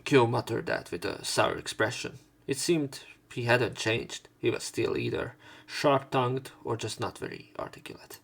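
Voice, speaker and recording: A man's voice: medium pitch, normal speed, gravelly, hardly any pauses, a bit bright. A man doing a let's play, reading a visual novel's narration and dialogue aloud.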